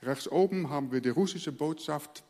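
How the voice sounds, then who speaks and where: A male pastor from the Netherlands, a native Dutch speaker, preaching earnestly and letting his phrase endings fall quiet. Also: fairly high pitch, normal speed, long reverb tail. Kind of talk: sermon.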